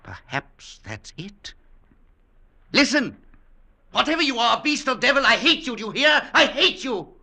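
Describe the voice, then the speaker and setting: An actor playing a broken, terror-stricken old professor in an old radio drama, with overwrought, theatrical delivery. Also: male voice, very high voice, big pitch swings, unhurried, creaky, long pauses, pushed and bright, exaggerated voice. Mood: bitter loathing, then frantic hatred. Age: older. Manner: overwrought, theatrical, swinging from murmurs to cries. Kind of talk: 1940s-50s radio drama acting.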